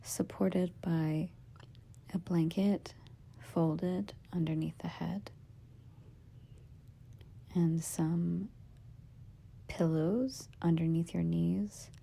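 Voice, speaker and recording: A woman guiding a meditation as a yoga nidra teacher, in a soft, breathy, husky half-whisper, giving gentle, soothing instructions in short phrases with pauses. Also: fairly low pitch, steady tone. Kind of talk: guided meditation.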